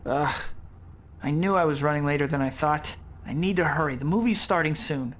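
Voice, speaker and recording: An actor voicing a wisecracking, eager young student superhero in a video game, with an American accent. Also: male voice, on the high side, fairly quick, fairly bright tone, lightly acted. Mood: musing. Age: young adult.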